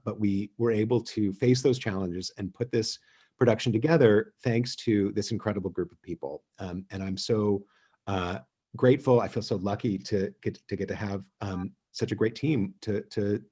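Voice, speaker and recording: A man hosting an online theatre gathering, speaking informally and haltingly, pausing often as he thinks aloud. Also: lowish voice, steady tone, mellow, breathy edge. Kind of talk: informal speech and toast. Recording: dull, lo-fi video call audio.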